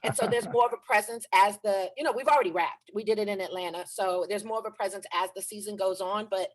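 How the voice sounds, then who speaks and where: A woman's voice: medium pitch, quick pace, poor audio. A woman voice actor chatting casually and animatedly on a video call from her home. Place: home in Los Angeles.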